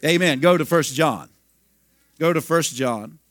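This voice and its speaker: Pastor, male, 50s, fairly high voice, storytelling sermon with shouted bursts, dry recording. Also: measured pace, no room sound.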